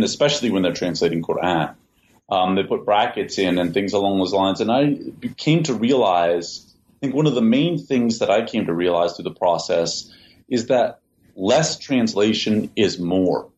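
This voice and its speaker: A man answering interview questions at length, in a measured way, often repeating words as he thinks. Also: fairly low pitch, darkish tone. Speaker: interview guest, translator. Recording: dull lo-fi sound, faint hiss.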